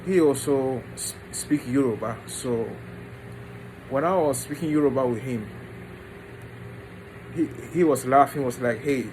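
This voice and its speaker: A man talks informally about himself in a medium-pitched voice. He moves in short, halting phrases with pauses. The recording has clearly audible background noise, like a steady room hum. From Ghana and the United States. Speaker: vlogger introducing his book. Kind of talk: informal personal storytelling to camera.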